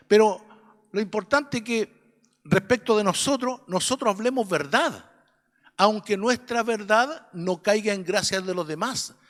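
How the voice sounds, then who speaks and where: A man's voice: high-pitched, a bit bright, long reverb tail. A man with a Chilean accent teaching an adult Sunday school class, earnest and preachy, often checking that listeners agree. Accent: Chilean Spanish. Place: church classroom.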